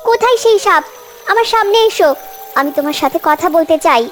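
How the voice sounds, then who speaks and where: A woman's voice: very high-pitched, expressive, a little fast, faint music behind. A woman voicing a brave little cartoon girl. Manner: earnest, pleading, bold. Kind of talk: cartoon voice acting.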